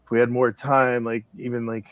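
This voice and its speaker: A man's voice: medium pitch, little pitch movement, normal speed, dry recording, highs cut off. A man chatting casually in a rambling way, punctuating his talk with chuckles. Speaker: director on a production discussion panel. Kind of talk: casual chat.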